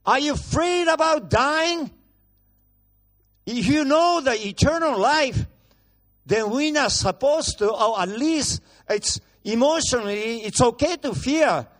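A man whose voice sits unusually high for a man. He preaches as a guest in a church, blunt and brash, slipping in jokes as he switches rapidly between languages. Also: expressive, a bit bright. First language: Japanese. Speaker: guest pastor. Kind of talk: bilingual sermon.

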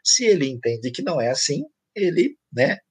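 A man's voice: a little high, sing-song, normal speed, breathy edge, no room sound. A man with a Brazilian Portuguese accent, a pastor answering questions in a church teaching session, explaining conversationally with anecdotes.

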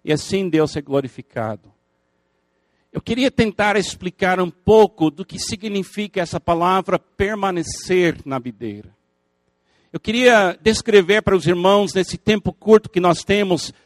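An older man with a native English speaker's lilt delivers an earnest sermon, building intensity through repeated phrases. His voice sits high for a man and sounds close and dry, with no room around it. He breaks in with playful asides to the listeners. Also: dry recording. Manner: earnest preaching with emphatic repetition and rising intensity. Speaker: pastor.

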